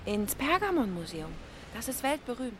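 A woman's voice: fairly high pitch, very animated, normal speed, a little hiss or hum. A woman playing an anxious, earnest young woman in love in a German radio play, emotional in manner.

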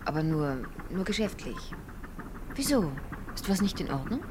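A woman's voice: lowish voice, big pitch swings, normal speed. An actress playing a self-assured, inquisitive young woman in a radio crime drama, direct and full of questions. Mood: puzzled. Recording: noisy outdoor harbour sound effects.

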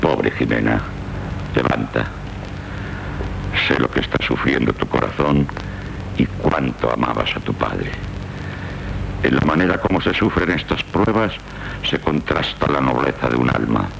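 An extremely deep, heavily creaking voice of a paternal king. He speaks in a stately, measured radio-drama manner, grave and deliberate, on an old noisy tape. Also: full character voice. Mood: grave compassion. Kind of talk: 1970s Spanish radio drama acting.

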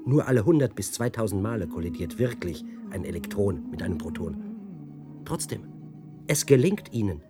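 A man's voice: lowish voice, expressive, normal speed, over music, natural voice. An actor playing a mocking, brooding male press officer of a research centre in a German science-fiction radio drama. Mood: taunting, intense.

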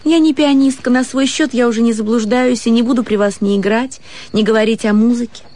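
A woman acting an earnest young romantic in a radio play, gushing and pleading in a husky, emotional voice. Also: a little high, steady tone, fairly quick, mellow, close to an everyday voice. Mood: pleading, anxious.

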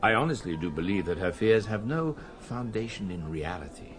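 An actor playing an anxious, courteous archaeologist husband who speaks formally and earnestly in a radio drama. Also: male voice, on the low side, animated, normal speed, natural voice. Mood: worried, earnest.

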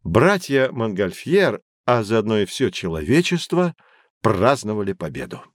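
An actor playing a knowledgeable, patient guide who teaches children in an educational audio drama, with a didactic, emphatic delivery. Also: male voice, fairly low pitch, very animated, a little slow, no room sound, studio quality. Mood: storytelling.

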